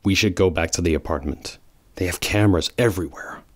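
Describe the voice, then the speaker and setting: A brooding hedgehog antihero, voiced by a man with a low, grave voice. He speaks tersely and seriously, with little warmth. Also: a little fast. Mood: grim. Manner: grim, terse.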